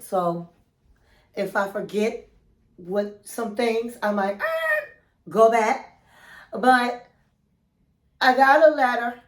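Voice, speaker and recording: A woman's voice: a little high, echoey room. A woman with a cold and a nasal voice, hosting her own relationship advice channel and chatting casually with viewers, calling them queens and honey. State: has a cold, sounds nasal. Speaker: relationship coach and channel host. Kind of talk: casual advice vlog chat.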